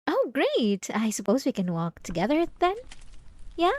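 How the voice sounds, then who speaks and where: Woman acting as sweet creepy church girl for asmr roleplay, high voice, hesitant and apologetic, some noise behind her. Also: a little mellow, exaggerated voice. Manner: hesitant, halting, cloyingly apologetic, feigned innocence. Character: sweet, devout, obsessive young church girl stalker. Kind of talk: ASMR roleplay drama, addressed to the listener.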